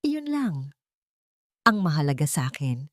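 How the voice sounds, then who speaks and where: A woman's voice: deep voice, very animated, a little slow, no room sound, studio quality. A woman voicing a wounded, earnest man in love in an audio drama. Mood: weak, tender.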